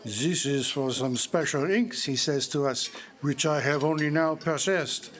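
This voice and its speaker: An actor playing a courtly, self-satisfied Belgian detective with a French-speaking accent, explaining precisely in a theatrical live mystery drama. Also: male voice, a little high, measured pace, fairly bright tone. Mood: confident deduction. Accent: French-speaking Belgian, put on. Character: Belgian detective, courtly, pompous, confident man. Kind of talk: live radio-style mystery drama performance. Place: public library live stage before an audience.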